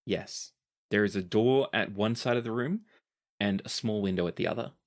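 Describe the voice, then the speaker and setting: A man with a low voice works as game master at a tabletop game. He improvises scene narration and calls for rolls in a conversational way. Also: moderate pace.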